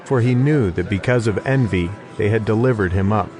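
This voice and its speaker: A man's voice: on the low side, fairly quick, crowd noise. A man giving a scripted narration of an audio Bible. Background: music behind the voice.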